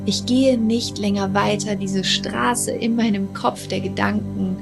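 Creaky, even-toned woman coaching over new-age music. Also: mid-range voice, measured pace. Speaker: life coach and podcaster. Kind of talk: motivational coaching monologue.

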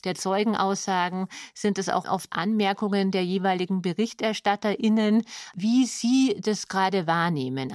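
Lower-voiced woman explaining conversationally. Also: a little mellow, no room sound, studio quality. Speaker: radio drama editor. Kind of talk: explanatory interview statement.

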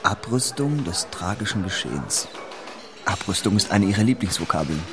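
A man's voice: sits low, lively intonation, normal speed, crowd noise. A man playing a questioning, pointed male character in radio play dialogue. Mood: probing, sceptical. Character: probing, dryly sceptical male interviewer. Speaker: interviewer.

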